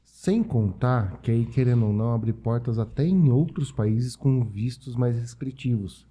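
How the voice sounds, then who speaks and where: A Brazilian man with a husky voice hosting a casual interview, agreeing briefly and asking follow-up questions. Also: medium pitch, unhurried, mellow. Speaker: podcast host.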